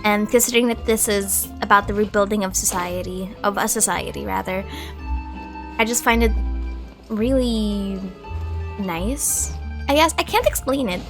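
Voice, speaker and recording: A woman's voice: medium pitch, normal speed, over music. A woman giving casual gameplay commentary as a streamer, chatty, reflective and jokey. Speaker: gaming streamer.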